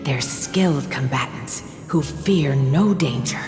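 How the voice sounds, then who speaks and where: A gentle, motherly woman from a fantasy game, speaks in a low, soothing voice with doting affection. Her words carry a long, echoing reverb, and music plays clearly behind them. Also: takes it slowly. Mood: tender storytelling. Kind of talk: game voice acting, storytelling.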